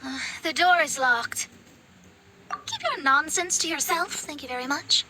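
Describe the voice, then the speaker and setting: A woman's voice: high-pitched, animated, unhurried, background noise. A woman voicing a refined, aloof young lady in a video game, with a formal, theatrical manner. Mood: prim.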